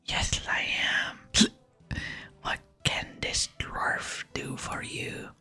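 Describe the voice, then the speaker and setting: A woman's voice: extremely slow, sharp tone, exaggerated voice. Woman whispering as a cagey, boozy male dwarf. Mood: gruff, obliging. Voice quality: breathy whisper. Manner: cagey, drink-loving. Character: gruff, hard-drinking male dwarf explosives expert. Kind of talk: whispered ASMR reading of game dialogue.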